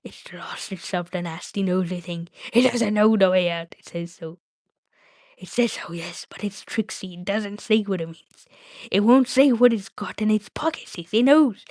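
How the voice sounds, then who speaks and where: A woman's voice: mid-range voice, a little mellow, no room sound, natural voice. Woman performing wretched cave creature, suspicious, whispery hiss, muttered baby-talk, storybook villain, dry sound. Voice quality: whispery, hissing.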